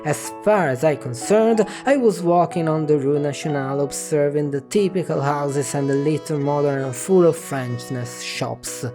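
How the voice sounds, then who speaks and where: A man's voice: on the high side, normal speed, hardly any pauses. A man with an Italian accent, a native Italian speaker from northern Italy, narrating his travel vlog in a wry, chatty way. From Piedmont, northern Italy. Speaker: travel vlogger. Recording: music underneath.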